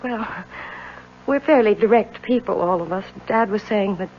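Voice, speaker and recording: A woman's voice: medium pitch, normal speed, a little hiss or hum, natural voice. An actress playing a direct, bossy society woman in a radio drama. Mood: confident, eager. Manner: direct, commanding. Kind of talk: 1970s radio drama acting. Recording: dull old radio recording.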